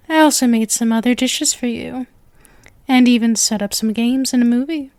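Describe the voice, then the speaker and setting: A woman's voice: fairly high pitch, a little mellow, dry recording, close to an everyday voice. A woman voicing a sweet, lovestruck, clingy witch girlfriend in an ASMR roleplay, speaking to the listener in a coy, nervous, gushing and intimate manner. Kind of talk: ASMR roleplay, scripted acting addressed to listener.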